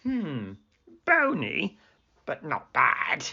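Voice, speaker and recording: A woman voicing a wicked, greedy male wolf in a storybook, savoring words in a falsely soft, coaxing way. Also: low voice, wildly swooping pitch, extremely slow, creaky, breathy edge, muffled recording, voice pushed up, exaggerated voice. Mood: greedy relish.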